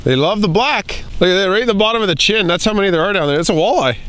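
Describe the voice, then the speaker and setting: A man with a fairly high voice talks in a folksy, playful, exclamatory way, like an outdoor vlogger. He is recorded in the open air on a small boat, with some background noise around him. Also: expressive, rapid-fire, fairly bright tone. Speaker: host of a fishing vlog. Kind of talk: casual excited vlog commentary.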